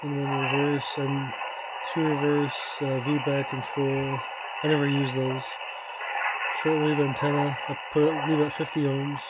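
A man giving an informal hands-on tutorial in a halting way, trailing off mid-phrase. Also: medium pitch, monotone. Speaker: radio hobbyist demonstrator. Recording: noisy, with radio static. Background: shortwave radio hiss.

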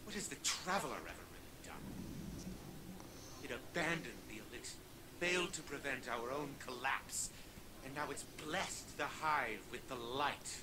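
A man's voice: mid-range voice, animated, normal speed, background noise, natural voice. A man voicing an arrogant old male scientist who lives on inside a robot frame, in a video game cutscene, speaking in a cold, condescending, self-assured way. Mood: defiant.

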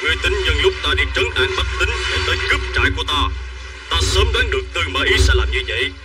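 An actor playing a calculating, commanding middle-aged strategist of ancient China, speaking with measured authority in an animated dub. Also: male voice, fairly high pitch, distant mic, loud music behind the voice, close to an everyday voice. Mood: confident. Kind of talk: Vietnamese-dubbed historical animation acting.

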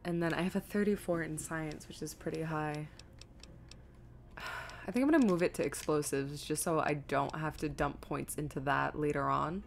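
A woman giving casual live game stream commentary, chatty and thinking out loud as she reads things aloud. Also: low voice, fairly even intonation, moderate pace, gravelly.

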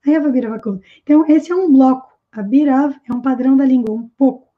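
A woman in her thirties teaching an online English lesson, slowly repeating example phrases and explaining them with care. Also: high voice, narrow, tinny audio. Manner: slow, careful repetition of example phrases.

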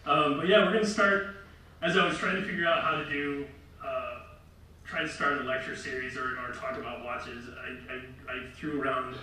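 A middle-aged man with a loud voice gives a casual, rambling talk with slides to a seated audience, often correcting himself. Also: mid-range voice, fairly even intonation, moderate pace. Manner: rambling, self-correcting, light laughs. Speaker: lecture presenter. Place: large room with seated audience. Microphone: far camera. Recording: echoey hall from far off, faint noise.

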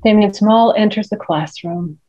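A woman's voice: mid-range voice, normal speed, warm tone, lo-fi sound. A middle-aged woman reading stage directions at a film script table read. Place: indoor room at home on a video call.

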